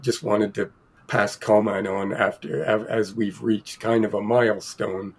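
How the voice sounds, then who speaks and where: A man co-hosting a casual film podcast, sounding croaky from a cold and rambling with many fillers and restarts. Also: lowish voice, flat delivery, a little slow. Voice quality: gravelly, husky. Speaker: podcast co-host.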